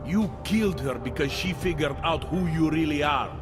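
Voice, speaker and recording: A man's voice: a little high, moderate pace, natural voice. An actor playing a suspicious, bitter male police detective in a video game, blunt and accusing in delivery. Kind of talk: video game cutscene acting. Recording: loud music underneath. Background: loud music.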